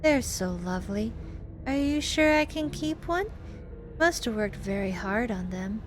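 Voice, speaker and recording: A woman's voice: a little high, expressive, unhurried. A woman voicing an earnest, eager child in a horror visual novel. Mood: sweet, admiring. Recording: music behind the voice.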